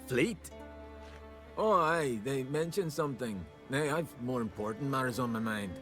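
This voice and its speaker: An actor playing an eager, eccentric island scholar in a fantasy game, using folksy archaic words. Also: male voice, medium pitch, expressive, unhurried, fairly bright tone, soft background music. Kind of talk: video game cutscene dialogue.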